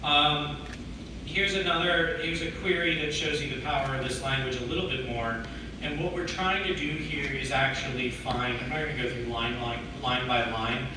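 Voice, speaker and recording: Male tech presenter, hoarse from a cold, explains step by step; echoey room, mic at a distance. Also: mid-range voice, background noise. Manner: explains step by step, many restarts. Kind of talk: technical talk answering questions. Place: meeting room with audience.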